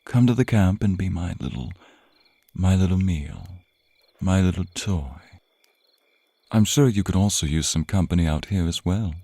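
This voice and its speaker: A man's voice: sits low, lively intonation, moderate pace, vocal fry, long pauses, mellow, no echo, full character voice. A man voicing a suave, predatory vampire in an intimate ASMR roleplay, with a teasing, possessive, theatrical drawl and murmured asides. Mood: playful, possessive.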